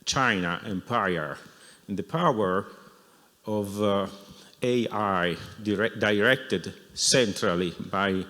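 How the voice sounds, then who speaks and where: Slow, halting man. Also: lowish voice, bright, forceful tone. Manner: halting, searching for words. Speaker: audience member asking a question. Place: lecture hall. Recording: echoey lecture hall.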